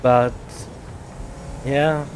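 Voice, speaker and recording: A man from Germany with a German accent, chatting casually while playing a game, often repeating words and pausing with fillers. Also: medium pitch, fairly even intonation, darkish tone, slight background noise, rough recording. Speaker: gaming streamer. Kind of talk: casual gameplay chat.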